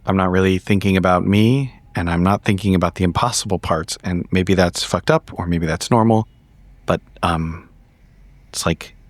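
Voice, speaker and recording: A man's voice: deep voice, fairly even intonation, normal speed, no echo. A man in character as a dreamy, apologetic agent, musing hesitantly in florid words, in a horror roleplay. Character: dreamy, apologetic agent preoccupied with art and the uncanny.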